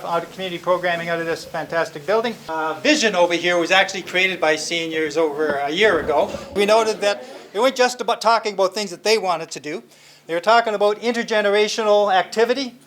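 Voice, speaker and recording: A man's voice: high-pitched, fairly bright tone. A man from a community organization giving an earnest, informal welcoming address.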